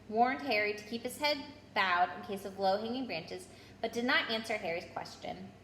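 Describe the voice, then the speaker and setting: A woman storyteller reading a children's book aloud in an expressive narrating style. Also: fairly high pitch. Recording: roomy echo with background noise.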